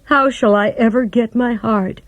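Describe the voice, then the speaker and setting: A woman's voice: on the high side, steady tone, measured pace, dry recording, lo-fi sound, voice pushed up, natural voice. A woman voicing an earnest, plaintive tin man who speaks firmly.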